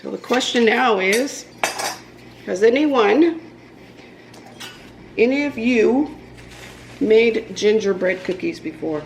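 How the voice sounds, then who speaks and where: A woman's voice: fairly high pitch, animated, slow, pauses often, a little hiss or hum, rough recording. A woman vlogging casually in her home kitchen, nasal from a cold, rambling with lots of ums as she bakes. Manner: rambling, with many ums and restarts. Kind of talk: casual chatty cooking vlog. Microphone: camera propped on the counter.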